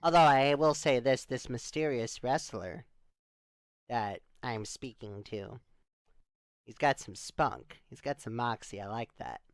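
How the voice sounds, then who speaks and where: A man playing a skeptical, dry-humored spaceship crewmate in improvised, conversational sci-fi roleplay, full of deadpan questions. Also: medium pitch, a little slow, clear, resonant tone, dry recording, natural voice. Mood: dry amusement.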